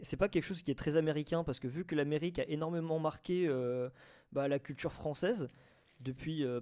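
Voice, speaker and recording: Dark, mellow-voiced man debating casually. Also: fairly high pitch. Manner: informal, halting, repeats phrases. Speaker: debate participant.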